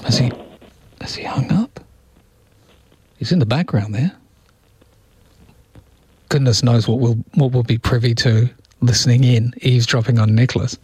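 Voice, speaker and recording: A man with an Australian accent hosts talkback radio from a studio mic, chatty and rambling with wry asides. The sound is close and dry, and his voice sits at a middling pitch. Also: moderate pace, pauses often, a little mellow, husky, dry recording, rough recording. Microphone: studio microphone.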